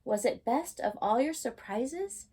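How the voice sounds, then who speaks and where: A woman voicing an eager, tender-hearted young boy mouse in a storybook, earnest and excitable. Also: a little high, unhurried, husky, voice pushed up. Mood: hopeful.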